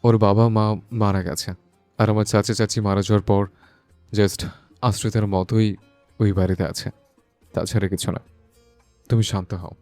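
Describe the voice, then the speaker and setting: A man's voice: lowish voice, flat delivery, moderate pace, pauses often, darkish tone, dry recording, faint music behind, lightly acted. A man playing a brooding, lovestruck young son in an audio love story, earnest and insistent, mixing in English words. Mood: pleading, soothing.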